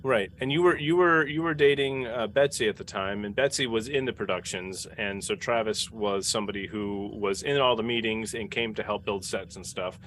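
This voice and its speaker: A man chatting casually and telling stories in a halting, conversational way. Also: on the low side, fairly bright tone, a little hiss or hum. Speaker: podcast cast member. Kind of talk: casual reminiscing chat.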